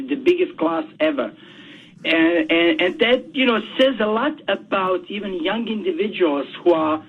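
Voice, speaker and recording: A man's voice: high-pitched, breathy edge. Man on a tinny phone line. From Bosnia. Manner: halting, repeats words often while searching for them. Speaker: author guest. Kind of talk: radio interview by phone.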